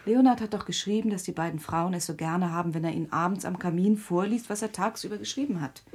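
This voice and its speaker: Worried, slightly husky sister. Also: female voice, fairly low pitch, fast, darkish tone. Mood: practical, insistent. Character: worried, suspicious, practical sister urging caution. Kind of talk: German radio drama acting.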